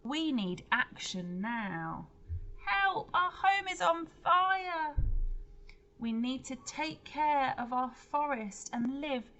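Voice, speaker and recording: A woman voicing alarmed storybook protesters, calling out demands loudly and emphatically. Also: sits high, animated, slow pace, pitched above the performer's own voice, full character voice, low-quality recording. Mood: urgent, alarmed.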